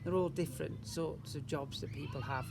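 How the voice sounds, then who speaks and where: A man's voice: fairly high pitch, steady tone, moderate pace, vocal fry, background noise. A man reading a picture book to young children as a teacher, emphatically, with rhetorical questions and asides. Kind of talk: animated picture-book storytelling.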